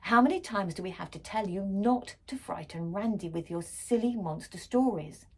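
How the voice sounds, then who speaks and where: A woman reading a storybook and voicing a stern, exasperated father with a gruff, scolding manner. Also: mid-range voice, moderate pace. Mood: angry. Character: stern, exasperated father scolding his monster-mad daughter.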